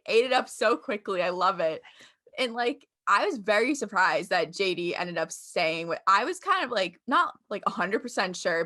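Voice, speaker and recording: A woman co-hosting a sports podcast with a bright, crisp tone. She is enthusiastic and agreeable, and chimes in often. Her voice sits at a middle pitch. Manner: agreeable, quick backchannels, enthusiastic. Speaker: podcast co-host. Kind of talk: casual sports chat.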